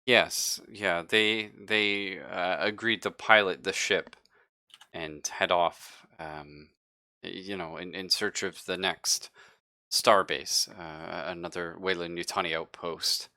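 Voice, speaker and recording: A man acts out a younger male survivor of a space crew in a sci-fi roleplaying game. He is low-voiced, bright and slow, nervous and evasive, stammering his way through halting explanations. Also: fairly even intonation, dry recording, clean studio sound, exaggerated voice. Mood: evasive, explaining.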